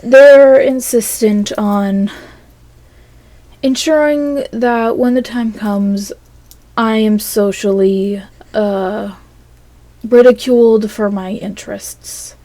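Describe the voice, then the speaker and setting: A woman recording a casual, rambling audio log monologue in a hesitant, halting way that trails off between phrases. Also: medium pitch, steady tone, dark tone, no room sound.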